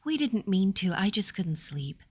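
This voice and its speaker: A woman narrator voicing a gentle, anxious young noblewoman in a fantasy story, speaking softly and earnestly. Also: mid-range voice, very animated, measured pace, slightly rough. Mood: gentle concern.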